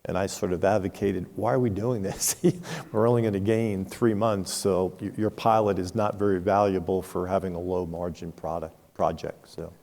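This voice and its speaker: A low, gravelly man speaks as a panelist, frank and measured, walking through examples. His tone is dark and mellow. The sound carries the long reverb of a conference hall. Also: fairly even intonation. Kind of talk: unscripted panel discussion.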